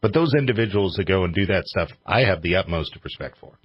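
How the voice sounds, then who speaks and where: A man's voice: deep voice, animated, quick pace, breathy edge, lo-fi sound. A man hosting a radio show in casual chat, with an informal, joking manner.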